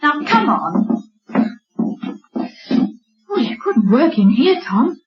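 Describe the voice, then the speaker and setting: An actress playing a headstrong, bossy wife whose nerves are under strain, in old radio drama style. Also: female voice, high-pitched, very animated, takes it slowly, over loud music, heavily acted. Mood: bossy, impatient. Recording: dull old radio recording.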